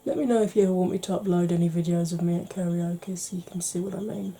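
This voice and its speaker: A woman from the UK, a beauty vlogger talking through a makeup tutorial in a quiet voice that keeps slipping into whispers. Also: lowish voice, fairly even intonation, fast, husky. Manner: quiet, soft-spoken, drifting into whispers.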